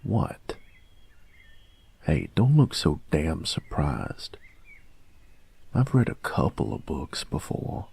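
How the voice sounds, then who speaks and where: A man playing a cocky young bully who is secretly soft on his boyfriend in an intimate audio roleplay, gravelly and husky, grudging and teasing in a cool-guy way. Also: a bass voice, wildly swooping pitch, slow pace, pauses often, exaggerated voice. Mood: sheepish, hesitant.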